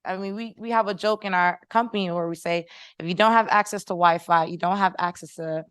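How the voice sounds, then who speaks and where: A woman's voice: lowish voice, fairly even intonation, fairly quick, fairly bright tone, clear, resonant tone, no room sound, studio quality. Passionate American woman on a panel. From Haitian American. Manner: passionate, persuasive, audience-engaging. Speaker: panelist, startup founder. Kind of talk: panel discussion answer. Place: conference room with audience.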